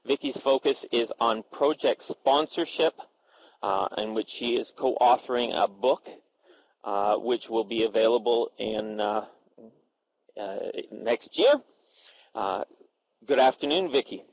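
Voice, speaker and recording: A man moderating a professional panel discussion, introducing guests and asking questions in a halting way with many filler sounds and restarts. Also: mid-range voice, gravelly, no echo, rough recording.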